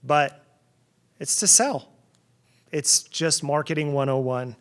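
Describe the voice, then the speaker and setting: A man's voice: a little high, slow, clear, resonant tone. A middle-aged man from New Jersey giving a conference keynote in a conversational way, with sarcastic asides and frequent restarts. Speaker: keynote speaker.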